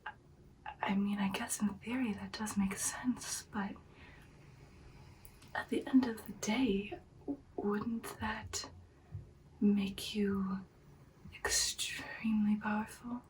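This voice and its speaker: Female performer as hesitant, devoted vampire girlfriend, ASMR roleplay; breathy whisper, very even pitch, faint background noise. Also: recorded from a distance, lightly acted, rough recording. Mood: pondering, hesitant. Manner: soft, halting, deliberate, intimate.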